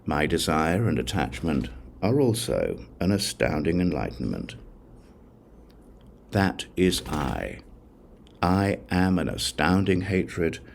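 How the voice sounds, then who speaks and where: A man with an extremely low, gravelly voice narrates a sacred text solemnly. He reads slowly and deliberately in a measured recitation, like a scripted audiobook, with lively rises and falls in pitch. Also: a little hiss or hum. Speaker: audiobook reader.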